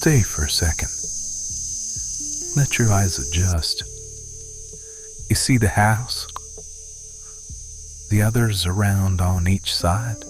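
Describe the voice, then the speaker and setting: A man acts out a brooding alpha werewolf who runs a ranch. His voice sits very low and moves slowly, terse and commanding, in an intimate protective murmur. Music plays softly underneath the ASMR roleplay. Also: rough recording. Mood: low, watchful.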